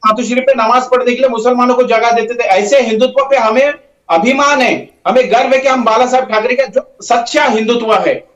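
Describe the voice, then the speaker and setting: High-pitched man, roomy and distant. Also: brisk, fairly bright tone. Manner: insistent, repetitive, uses tag phrases. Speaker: political party spokesman, debate panelist. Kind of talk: political debate argument. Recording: roomy, dull, distant microphone.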